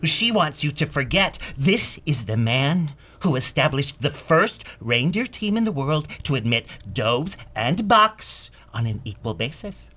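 A man voicing a fiercely loyal reformed reindeer in a forceful, persuasive stage monologue. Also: on the high side, very animated, unhurried, few pauses, full character voice. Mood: indignant, defensive.

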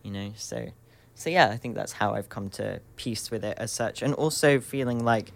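A man in his twenties, a radio guest, speaking reflectively and searchingly with many pauses and soft laughs. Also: mid-range voice, gravelly. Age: 20s. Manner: searching and thoughtful, many ums, laughs often. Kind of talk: reflective radio interview talk.

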